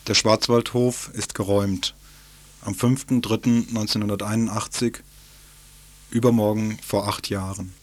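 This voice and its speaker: Flat-voiced male radio narrator. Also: on the low side. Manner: even, steady reading. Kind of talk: documentary news narration.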